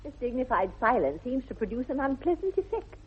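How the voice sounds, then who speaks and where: A woman's voice: sits high, very animated, fairly quick, breathy edge, heavily acted. An actress playing a haughty, imperious young society lady with an upper-class English accent, grand and affronted, in 1960s radio comedy style. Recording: muffled narrow-band vintage radio recording.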